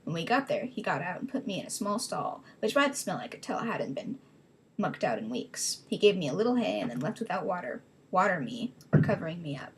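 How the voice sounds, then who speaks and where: A woman's voice: fairly low pitch, very animated, fairly quick, breathy edge, poor audio. A woman giving a dramatic reading of her own childhood story, rambling into self-mocking casual asides.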